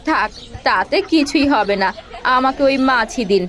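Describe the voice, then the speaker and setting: A woman's voice: sits high, animated, normal speed, a bit bright, faint music behind. A woman voicing a caring, hardworking village mother who sells greens in a fairy tale cartoon. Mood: hesitant.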